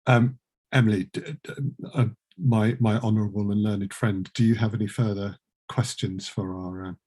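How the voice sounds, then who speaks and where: Middle-aged man, slightly husky, dry humour, casual podcast banter, mock-formal turns. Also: lowish voice, steady tone, normal speed, mellow. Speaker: podcast producer and host.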